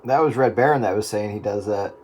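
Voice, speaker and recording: A man's voice: fairly low pitch, quick pace. A man making short, casual remarks in a hobbyist radio chat. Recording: very noisy radio transmission.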